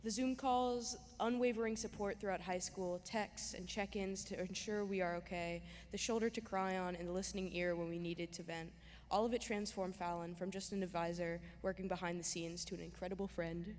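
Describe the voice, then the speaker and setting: A woman's voice: on the low side, steady tone, a little fast, hardly any pauses, darkish tone. A young woman who was once a student sincerely reads a prepared tribute in a synagogue. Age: young adult. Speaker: former student giving a tribute. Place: synagogue sanctuary. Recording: long hall reverb, faint noise.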